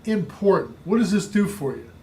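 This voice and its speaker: Male sales trainer, booming voice, slightly high pitch, emphatic repeating delivery, faint room noise. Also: big pitch swings, moderate pace, mellow. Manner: emphatic, repetitive coaching delivery. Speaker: real estate sales trainer. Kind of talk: instructional podcast talk.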